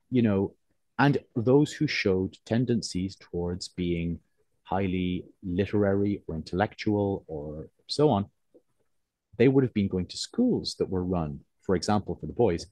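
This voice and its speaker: A man in his forties from Cork with a soft, neutralised Irish accent close to English, talking reflectively and hesitantly in an interview, often circling back to rephrase. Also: low-pitched, no echo. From Cork, Ireland. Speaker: interviewed writer.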